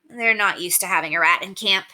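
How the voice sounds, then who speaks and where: A woman's voice: on the low side, fairly quick, pushed and bright, low-quality recording. A woman voicing an earnest, hesitant young boy in a storybook, sounding unsure and sheepish.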